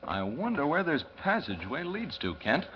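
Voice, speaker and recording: An actor playing a serious, practical crime-fighter in an old-time radio drama, speaking earnestly in clipped questions and remarks. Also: male voice, on the high side, huge pitch swings, normal speed, echoey room, long reverb tail. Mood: wary. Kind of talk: 1940s radio acting. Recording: dull, noisy vintage radio recording.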